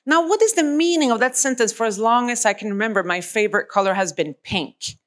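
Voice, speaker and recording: A woman's voice: fairly high pitch, expressive, brisk. A woman giving a public lecture in a conversational way, with many fillers and rhetorical questions. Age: adult with a young child.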